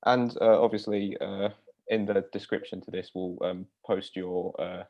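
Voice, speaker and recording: A man with a fairly low voice hosts an interview. He asks polite, semi-formal questions, and the sound is a little dull and lo-fi. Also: steady tone, a little slow. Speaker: interviewer, host. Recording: slightly dull, lo-fi audio.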